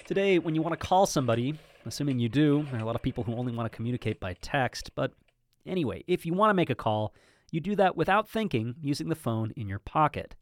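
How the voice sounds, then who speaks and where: A man hosting and narrating a podcast in a measured, slightly wry scripted style. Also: mid-range voice, animated, dry recording.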